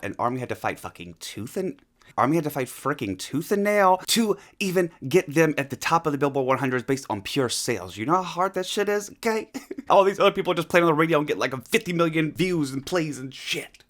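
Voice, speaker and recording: A man's voice: a little high, fairly bright tone. A man hosting a reaction video in a casual, effusive fan style, swearing freely as he comments. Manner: effusive, profane, talks to the video as he watches.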